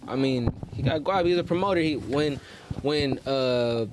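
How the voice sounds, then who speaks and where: A man's voice: mid-range voice, a little slow, noisy background. A man chatting casually as a rapper guest on a podcast, slangy, swearing freely and promoting himself.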